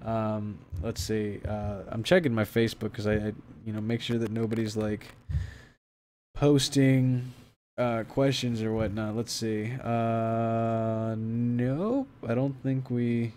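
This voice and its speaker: A young man hosting a fitness livestream in a casual, rambling way, full of fillers and restarts. His voice sits at an ordinary middle pitch for a man. He becomes emphatic when he lays out a challenge. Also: steady tone, slow pace, a little mellow, slight background noise. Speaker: fitness streamer host. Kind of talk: casual livestream chat answering viewers.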